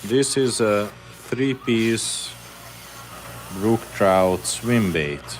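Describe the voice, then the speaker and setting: A man's voice: fairly low pitch, animated, very slow, a little hiss or hum. A man from Hungary with a Hungarian accent, chatting casually on a livestream while painting fishing lures in a workshop, answering viewer questions between tasks. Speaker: lure maker.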